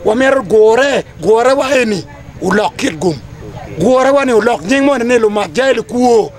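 High-pitched, emphatic Ugandan man. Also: expressive, moderate pace. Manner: emphatic, declamatory, repetitive phrasing. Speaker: community team leader. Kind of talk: impassioned public address to camera. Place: outdoors under trees among a gathering.

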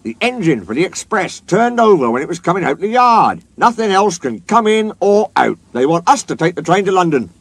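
A man playing an excited male train driver in a children's story, eager and emphatic. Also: sits high, fairly quick, hardly any pauses, slightly rough, no room sound, pitched above the performer's own voice, full character voice.

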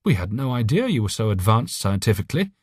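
A man's voice: on the low side, very animated, fast talker, a little mellow, slightly rough, dry recording, studio quality, pitched above the performer's own voice. A man voicing an alien telepathic voice for an audiobook, urgent, friendly and earnest, with careful phrasing. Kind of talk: audiobook character voice.

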